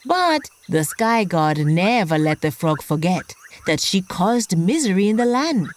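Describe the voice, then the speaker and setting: A woman hosting and telling a scripted children's story, expressive and speaking directly to young listeners. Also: on the low side, unhurried. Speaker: host and storyteller of a children's podcast.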